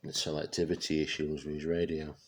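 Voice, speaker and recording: A man giving a casual, unscripted product demonstration, speaking haltingly as he searches for words. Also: a bass voice, completely flat delivery, a little hiss or hum. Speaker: presenter showing vintage radio gear.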